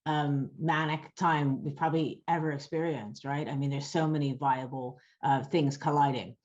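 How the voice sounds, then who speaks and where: A woman, a game studio director on a panel, talking briskly and upbeat. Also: low voice, steady tone, darkish tone. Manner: brisk and upbeat, quick bursts. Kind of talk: panel discussion. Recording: dull lo-fi call audio.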